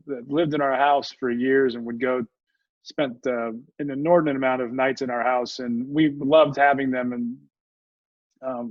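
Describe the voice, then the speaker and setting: An older man hosting a radio talk show, speaking in halting, rambling sentences full of fillers. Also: medium pitch, dry recording. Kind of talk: radio interview chat. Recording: muffled dry audio.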